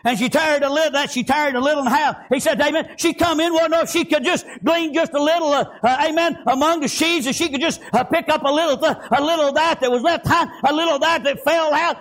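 A man preaching a revival sermon, fervent and often shouting, with constant "praise God" and "amen". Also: very high voice.

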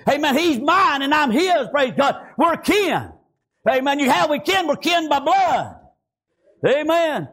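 A man preaching a revival sermon, fervent and often shouting, with constant "praise God" and "amen". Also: very high-pitched, sing-song, measured pace.

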